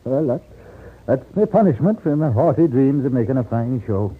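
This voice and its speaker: Husky, rueful old-radio priest. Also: male voice, medium pitch, very animated, normal speed. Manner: hesitant, rueful, self-chiding. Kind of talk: old-time radio drama acting. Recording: tinny, band-limited old radio recording.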